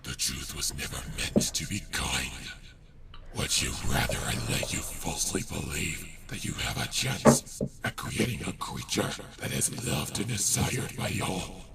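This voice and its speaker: A cruel monster in a dramatic fan fiction reading. The voice is extremely deep and full of gravelly fry, swinging through menacing taunts with slow deliberation. Clear noise sits in the home recording. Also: male voice, big pitch swings, fairly bright tone, distant mic, heavily acted. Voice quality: very deep, gravelly, breathy growl.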